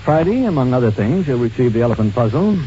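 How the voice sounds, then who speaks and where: A muffled, faintly hissy vintage radio recording carries an actor as a calculating male smuggler. He is self-assured and smooth in manner, with a widely swinging, animated delivery in classic radio drama style. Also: medium pitch, quick pace, lightly acted. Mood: smugly confident. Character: calculating, cold-blooded male art dealer and diamond smuggler. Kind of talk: 1940s radio drama acting.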